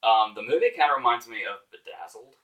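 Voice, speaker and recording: A man hosts an informal movie review in a bright, forceful voice, sounding exasperated and sarcastic. He leans hard on his words and swears casually. The microphone is some distance away from him. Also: mid-range voice, animated, quick pace. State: voice getting hoarse. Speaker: movie reviewer, show host.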